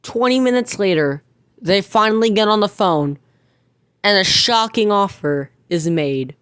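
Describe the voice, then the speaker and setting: A woman's voice: mid-range voice, animated, a little slow, fairly bright tone, clear, resonant tone. A woman serves as narrator for an amateur drama, reading scripted scene links. Her voice sits in the middle register.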